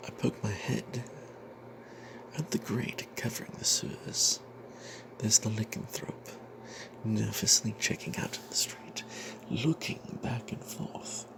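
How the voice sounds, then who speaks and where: A man voicing a greedy, cowardly adventurer cleric, telling a fantasy tale in the first person in a breathy, secretive ASMR whisper with hesitant pauses. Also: lowish voice, background noise. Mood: tense, secretive. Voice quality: breathy whisper with creaky edges. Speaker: narrator of a tabletop fantasy tale.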